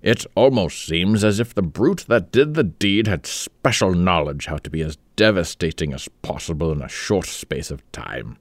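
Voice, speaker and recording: A man reading a story aloud, voicing an irritable country gentleman in a blustering, indignant manner. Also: deep voice, lively intonation, moderate pace, few pauses, no echo.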